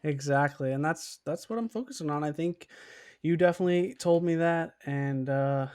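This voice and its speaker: A man's voice: a little high, normal speed, a little mellow, no echo, clean studio sound. A young man hosting a podcast in a casual, chummy, slangy interview style. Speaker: podcast host.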